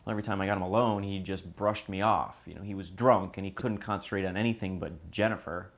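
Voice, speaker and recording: An actor playing a desperate, broke adult son defending himself in a naturalistic confession. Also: male voice, low voice, steady tone, fast. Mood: frustrated.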